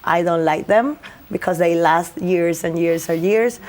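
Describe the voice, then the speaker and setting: A woman's voice: on the low side, unhurried, a little mellow. Young Spanish-accented woman lawyer, hesitant. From Spain. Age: young adult. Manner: hesitant, many fillers and restarts. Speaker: lawyer guest. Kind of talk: interview answers.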